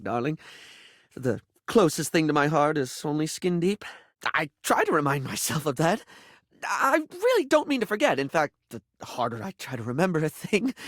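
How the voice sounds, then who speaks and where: A man voicing a flamboyant, scatterbrained makeup character in a video game, effusive and camp, with halting, self-deprecating, apologetic delivery. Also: high voice, dry recording, clean studio sound, full character voice. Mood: bright, affectionate, then earnest, flustered. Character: flamboyant, scatterbrained, apologetic male makeup object, gushing and insecure.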